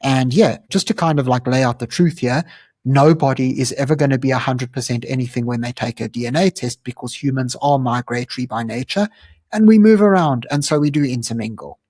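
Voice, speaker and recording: A man's voice: mid-range voice, fairly quick, darkish tone, no echo, studio quality. A South African man hosting his own channel, explaining things earnestly in a conversational way, with frequent ums and uhs. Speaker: channel host. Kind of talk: explanatory commentary to camera.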